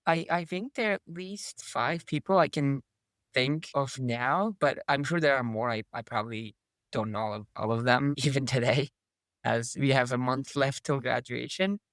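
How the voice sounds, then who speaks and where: A man's voice: medium pitch, moderate pace, fairly bright tone, dry recording, studio quality. A young man from Turkey, an MBA student, talking in a casual interview, thoughtful and full of "like" fillers. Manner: thoughtful, uses many "like" fillers.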